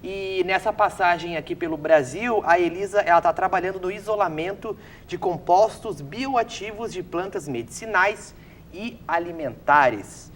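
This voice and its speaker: Playful, quick talk-show host woman. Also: on the low side, slight background noise. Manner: playful, quick, laughing often. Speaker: interview host. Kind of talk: casual talk-show interview.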